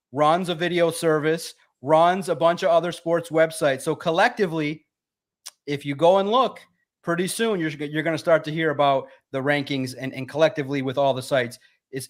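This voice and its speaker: Emphatic sportswriter on a podcast. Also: male voice, on the high side. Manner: emphatic, self-promoting, repeats himself for emphasis. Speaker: sports writer and podcast co-host. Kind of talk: animated podcast talk.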